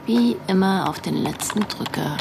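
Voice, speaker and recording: Blunt young start-up partner, mid-pitched, scornful, curt, radio play, clear background noise. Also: female voice, animated, moderate pace. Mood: sharp, impatient. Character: blunt, sharp-tongued young businesswoman in a start-up. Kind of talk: naturalistic radio drama acting.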